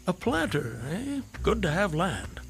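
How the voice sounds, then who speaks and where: An actor in a radio drama playing an eccentric, talkative roaming traveler with a florid, teasing, probing manner. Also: male voice, high voice, sing-song, measured pace, gravelly, a little hiss or hum, full character voice. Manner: theatrical, florid, teasing way of talking.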